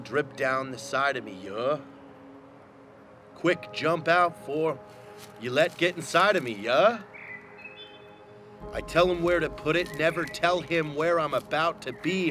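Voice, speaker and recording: Slow, theatrical man over clear music. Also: mid-range voice. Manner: deliberate, theatrical delivery. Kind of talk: mock-dramatic reading of rap lyrics. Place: outdoors among trees. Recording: music under the voice.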